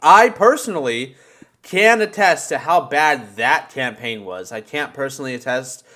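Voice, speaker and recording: A man from the United States telling casual podcast stories in an animated way, with plenty of swearing and bro slang. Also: mid-range voice, moderate pace. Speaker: podcast co-host. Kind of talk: casual podcast chat and storytelling.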